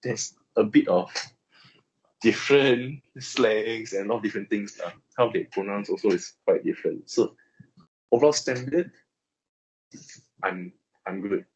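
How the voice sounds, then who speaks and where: A man's voice: fairly low pitch, measured pace, darkish tone, husky, highs cut off. A young Malaysian man with a Malaysian accent, answering interview questions casually and hesitantly, with many fillers and restarts.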